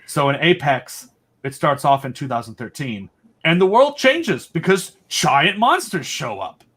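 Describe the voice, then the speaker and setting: A man with a fairly high voice speaks as a guest on a podcast. His delivery is casual and enthusiastic, animated with jokes and dry asides. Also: unhurried, husky. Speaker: podcast guest, audio drama creator.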